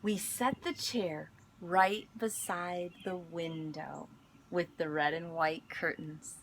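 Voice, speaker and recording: A woman reading a picture book aloud in an expressive storytelling manner, pausing to speak to young listeners. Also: on the low side, slight background noise, poor audio. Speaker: school instructional coach reading aloud.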